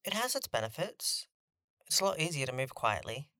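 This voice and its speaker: A woman's voice: sits low, very animated, moderate pace, sharp tone, dry recording, clean studio sound, full character voice. A woman playing a guarded fourteen-year-old boy in a fantasy audio drama, speaking casually and a little defensively. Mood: dry. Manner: casual, slightly defensive teenage talk.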